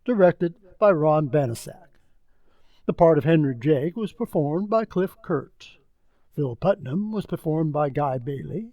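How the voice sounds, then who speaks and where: A man with a gravelly, husky voice announcing scripted credits for a radio play. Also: fairly high pitch, darkish tone, no room sound.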